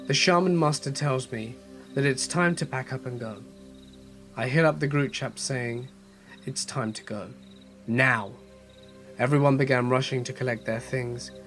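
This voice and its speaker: Male horror-story host, mid-range voice touched by a mild cold, narrating over a music bed with crickets. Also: mid-range voice. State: slight head cold. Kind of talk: scripted first-person horror story narration and channel sign-off.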